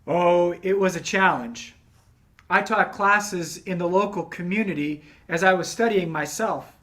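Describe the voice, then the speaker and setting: A man playing a dignified elderly male scholar in a dramatic reading, with formal, deliberate delivery. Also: high voice, fairly bright tone. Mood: grave, earnest. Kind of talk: dramatic reading of historical monologue.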